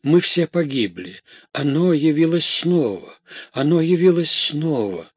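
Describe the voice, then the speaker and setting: A man's voice: fairly high pitch, lively intonation, moderate pace, breathy edge, no room sound, voice pushed up. A man voicing a hysterical, garrulous young maidservant in a story, frightened and indignant, with breathless, exclamatory, rambling delivery. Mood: terrified.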